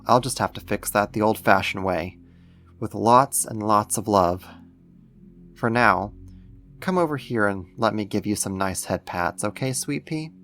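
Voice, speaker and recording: A man playing a doting alien creator who soothes a human pet, affectionate and gently possessive, in an ASMR roleplay. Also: lowish voice, unhurried, soft background music. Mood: tender, soothing.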